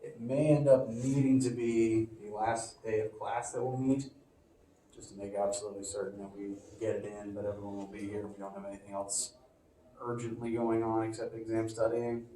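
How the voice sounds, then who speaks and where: A lower-pitched, mellow-toned man teaching a physics class, loose and chatty, stumbling through fillers and restarts. He sounds a bit sniffly. The sound is echoey and distant, from a phone left across the classroom. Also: level tone, normal speed, a little hiss or hum. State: sniffly from allergies. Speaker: physics professor. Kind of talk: casual classroom lecture. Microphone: phone recording from across the room.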